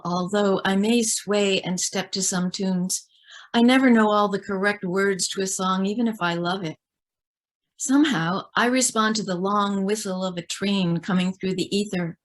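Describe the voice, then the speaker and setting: Woman reciting poetry in a mid-range voice. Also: medium pitch. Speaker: poet.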